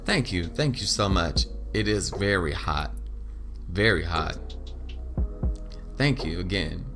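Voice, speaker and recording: A performer voicing a courteous, softly flirtatious male postman in an audio drama, pitched down from their usual voice. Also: animated, slow pace, over music, heavily acted. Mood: polite, friendly. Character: smitten, polite postman, smooth-talking suitor, a man.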